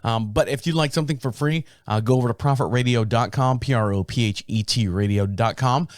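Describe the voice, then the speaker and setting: A man hosting his own podcast in casual talk, a bit raspy from a sore throat, rambling and restarting his sentences. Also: a little fast, no room sound, studio quality. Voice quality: deep. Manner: rambling, self-interrupting storyteller. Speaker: podcast host. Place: small podcast studio. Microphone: studio microphone.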